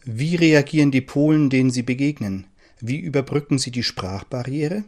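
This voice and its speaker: A man's voice: medium pitch, normal speed, darkish tone. Man asking interview questions. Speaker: radio interviewer.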